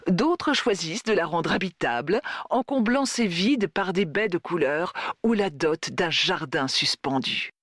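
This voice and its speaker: A woman's voice: on the low side, expressive, unhurried, a bit bright, dry recording, clean studio sound. A woman reading a scripted news-style report. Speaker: radio-style report presenter.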